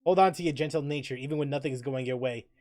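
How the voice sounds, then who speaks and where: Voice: mid-range voice, racing, no echo, studio quality. A gaming streamer chatting casually and joking, with mild swearing, while reading chat and commenting on play. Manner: animated, joking, mildly profane, reads chat aloud. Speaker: gaming livestreamer. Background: background music.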